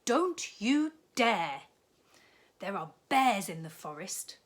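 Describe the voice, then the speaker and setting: A woman voicing alarmed, bossy family members in a picture book, with loud, drawn-out, emphatic warnings. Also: medium pitch, very animated. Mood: stern warning.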